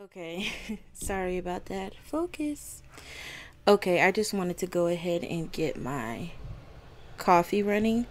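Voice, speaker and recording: A woman hosting a casual home video podcast, chatting to her viewers in a slightly raspy morning voice and apologizing often. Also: fairly low pitch, measured pace. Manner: chatty, apologetic, easily excited. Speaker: podcast host. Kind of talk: casual chat to camera. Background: coffee maker running.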